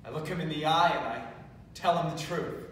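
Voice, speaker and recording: A young man performing a stage monologue as a battered, defiant heroin addict, telling his story haltingly and dramatically in an echoey room. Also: fairly high pitch, a bit bright, close to an everyday voice. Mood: raw, defiant. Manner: halting, dramatic retelling with sudden outbursts. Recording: distant echoey room, faint noise.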